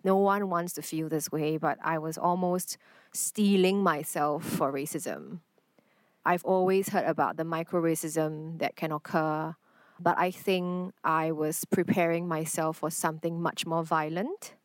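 A woman talks earnestly and thoughtfully as a podcast guest. Her voice sits on the lower side and sounds close and dry, with almost no room around it. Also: a little slow, no room sound. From Singapore. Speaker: guest artist. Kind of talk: reflective podcast conversation.

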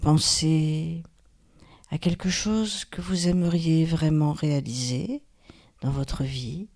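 A woman guides a relaxation meditation in a calm, soothing manner, leaving long pauses. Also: low-pitched. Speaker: yoga nidra guide, host.

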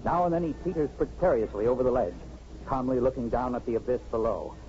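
An actor playing a florid, dramatic on-the-scene radio reporter in a 1950s radio drama. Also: male voice, mid-range voice, fast talker, warm tone, close to an everyday voice. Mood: grave, excited. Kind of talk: 1950s live news commentary, acted. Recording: dull old radio recording, faint noise.

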